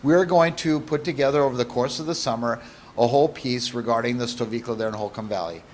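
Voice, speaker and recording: A man's voice: mid-range voice, fast. A man hosting an interview, asking short upbeat questions and closing the segment. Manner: brief, upbeat prompts.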